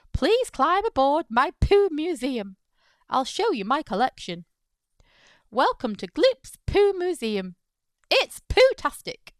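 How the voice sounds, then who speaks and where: A woman acts out an eccentric showman from a children's storybook, boasting grandly about his collection. The voice sits high and swings widely in pitch. The delivery is theatrical and eager, recorded dry and close. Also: dry recording, exaggerated voice. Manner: grandly enthusiastic, showy. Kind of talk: storybook character voice.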